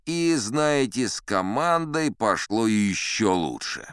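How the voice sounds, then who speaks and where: A man's voice: on the low side, big pitch swings, slow pace, fairly bright tone, clear, resonant tone, no room sound, heavily acted. A boastful old sea captain recounts tall tales for an audiobook. His delivery swings expressively, with a self-satisfied, conversational air. The voice is resonant and recorded very dry and close. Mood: animated boasting. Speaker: audiobook narrator in character. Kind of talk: theatrical first-person storybook narration.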